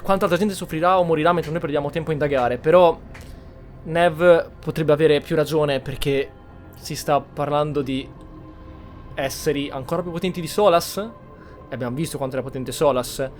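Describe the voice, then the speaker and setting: A man's voice: on the high side, fairly quick, faint music behind. An Italian man commenting casually on a video game as he plays, thinking aloud in a reflective way. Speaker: gamer, channel host. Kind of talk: casual let's play commentary and roleplay.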